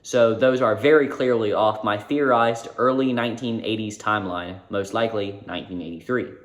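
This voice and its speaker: A man's voice: lowish voice, normal speed. A man narrating a casual video essay, informal and correcting himself now and then. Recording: roomy echo.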